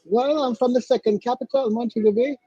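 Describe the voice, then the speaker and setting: A man with a Jamaican accent and a strikingly high, mellow voice. He argues insistently in a live online debate and repeats his claims with pride. His sound is dry and muffled, like lo-fi call audio. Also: fairly quick, breathy edge, dry recording. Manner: insistent, proud, repeats himself.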